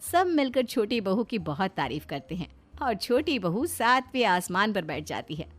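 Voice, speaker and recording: Animated woman narrating a story. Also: mid-range voice, normal speed, faint music behind.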